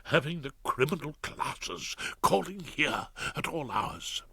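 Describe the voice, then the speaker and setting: Audiobook wife, stern and sarcastic, always reproving, as a male narrator puts her on; raised pitch, bright edge. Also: sing-song, slow pace, vocal fry, slightly rough, dry recording, heavily acted. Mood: disapproving. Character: stern disapproving middle-aged wife. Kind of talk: audiobook character voice.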